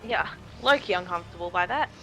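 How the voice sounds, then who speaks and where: A woman's voice: lowish voice, animated, fast talker, rough recording. A woman chatting casually as co-commentator on a game stream, with dry, sweary asides. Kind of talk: casual chat reacting to a game. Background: game music.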